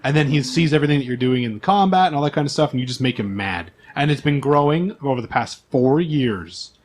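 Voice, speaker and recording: A man's voice: mid-range voice, lively intonation, fast. A man speaking casually as a game master and podcast host, narrating a tabletop game.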